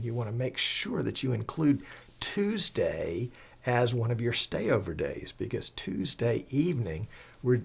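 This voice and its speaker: A man hosting a live show in a chatty, folksy, rambling and enthusiastic way, croaky as he recovers from the flu. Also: medium pitch, darkish tone. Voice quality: slightly husky, croaky. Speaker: live stream show host.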